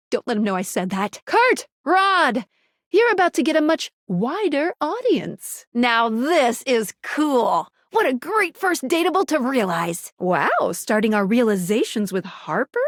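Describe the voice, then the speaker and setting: A woman's voice: sits high, no room sound, studio quality, heavily acted. A woman playing a perky, upbeat young female guide in a dating video game, with a playful, quirky and earnest manner. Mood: hesitant, surprised, then excited, bubbly. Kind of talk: video game character voice acting.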